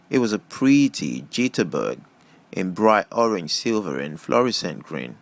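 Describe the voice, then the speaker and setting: A man narrates a first-person story as an audiobook reader. His voice sits low and moves with very lively intonation through the scripted prose. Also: gravelly.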